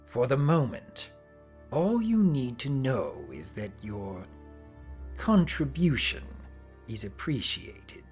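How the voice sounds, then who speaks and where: A man voicing a smug, sinister pony cult leader who speaks slowly and formally, in a dramatic story reading. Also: mid-range voice, very animated, a little mellow, soft background music. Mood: smug, superior. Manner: slow, smug, formal and menacing.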